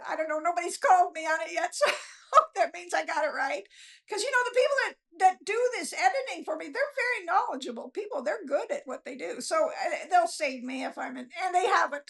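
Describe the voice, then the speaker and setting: Female author guest, very high pitch, unpolished, self-deprecating, conversational storyteller. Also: gravelly, a bit bright. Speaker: author being interviewed, guest. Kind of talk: casual, rambling storytelling chat.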